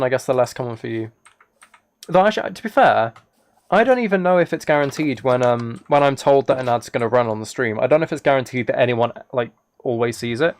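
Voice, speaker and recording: A man live streaming a game, rambling and thinking aloud as he chats casually with viewers. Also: mid-range voice. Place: indoors at a computer, keyboard clicks behind.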